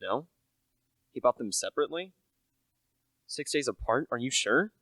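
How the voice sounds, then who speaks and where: A man's voice: medium pitch, animated, moderate pace, fairly bright tone, no echo. A young man acting as a humble, anxious salesman telling a murder tale in an amateur radio play. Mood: businesslike. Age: teenager to young adult. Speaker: actor, narrator.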